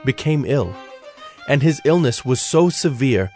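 A man's voice: medium pitch, lively intonation, measured pace, darkish tone. Solemn male Bible narrator over music. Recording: music under the voice.

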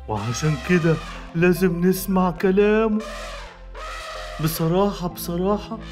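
A man plays a large but timid storybook elephant with an Egyptian accent. The voice sits slightly high and sounds hesitant and resigned, over soft music. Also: lightly acted. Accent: Egyptian Arabic. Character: big timid elephant, reluctantly obedient.

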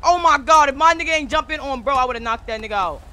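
An American man streaming a role-play game, bantering in street slang with loud, repetitive, taunting shouts. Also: very high voice, animated, fast talker, slight background noise. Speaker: streamer role-playing as a gang member in an online game.

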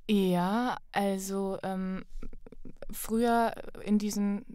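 A young adult woman speaking as a podcast guest in a casual, thoughtful, halting conversational way. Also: medium pitch, fairly even intonation, dark tone, smooth, no room sound, studio quality.

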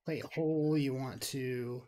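A man's voice: mid-range voice, fairly even intonation, slow pace, mellow. A man giving casual, rambling gaming commentary, thinking aloud and grumbling mildly as he builds. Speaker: gaming video creator.

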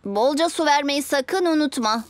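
A woman's voice: high-pitched, animated, normal speed, no room sound. A woman voicing an earnest six-year-old superhero boy who takes charge in a children's cartoon dub. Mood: helpful. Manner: eager, take-charge. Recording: music behind the voice.

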